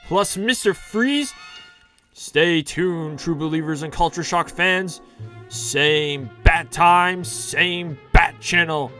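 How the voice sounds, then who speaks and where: A man's voice: a little high, takes it slowly, sharp tone. A man narrating an audio drama with a campy, dramatic announcer delivery in the style of a 1960s serial. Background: music.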